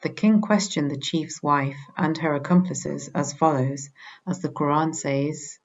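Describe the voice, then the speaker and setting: A woman reading a scripted religious study in a measured, formal way. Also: low-pitched, fairly even intonation. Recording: dull, muffled lo-fi recording.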